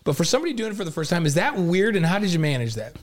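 Chatty middle-aged male host, higher voice. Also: very animated, racing, a crowd in the background. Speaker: sports radio host. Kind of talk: casual sports talk.

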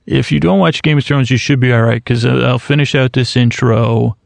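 Man, podcast host, heavy vocal fry, dry close sound, wandering self-mocking monologue. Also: medium pitch, brisk, no echo. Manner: goes off on rambling tangents; self-mocking, deadpan musing. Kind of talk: rambling solo podcast intro.

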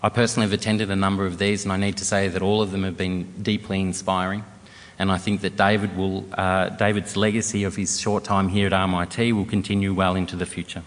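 Deep, monotone male host reading a formal introduction in a reverberant hall. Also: fast talker. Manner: steady, read-out delivery. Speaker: event host introducing a speaker.

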